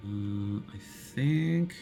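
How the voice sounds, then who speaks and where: A man's voice: on the low side, animated, drawn-out words, warm tone, faint music behind. A man giving casual live gameplay commentary as a streamer, explaining things and thinking aloud. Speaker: game streamer.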